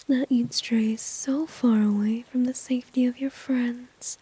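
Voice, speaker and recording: A woman playing a flirty, dominant villain in a whispered ASMR roleplay, teasing slowly and coyly with mocking condescension. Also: a little high, full character voice, low-quality recording. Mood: teasing, intrigued. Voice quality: soft, breathy whisper. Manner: slow, coy, mocking, drawn-out teasing.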